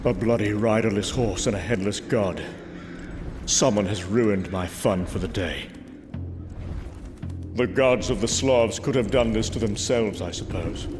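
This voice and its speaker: An actor playing a proud, brooding warrior god, telling his tale gravely and theatrically in a comic book drama. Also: lowish voice, natural voice. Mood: grim, brooding. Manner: grave, theatrical, measured storytelling. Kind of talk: dramatic first-person comic book narration. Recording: music under the voice. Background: music.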